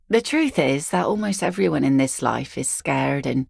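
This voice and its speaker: A woman's voice: very deep voice, creaky, darkish tone, breathy edge, dry recording, full character voice. An actress voicing a blunt, weathered fisherwoman who picks nets, speaking game voice lines in a dry, sardonic way. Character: tough weathered fisherwoman net picker, blunt. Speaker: game character.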